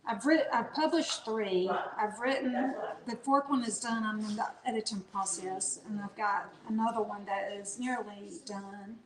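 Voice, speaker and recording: A woman's voice: mid-range voice, normal speed, hardly any pauses, a crowd in the background. A woman from North Carolina with a Southern accent, an author chatting casually as an interview guest. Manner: relaxed, chatty, with fillers and restarts. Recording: echoey room.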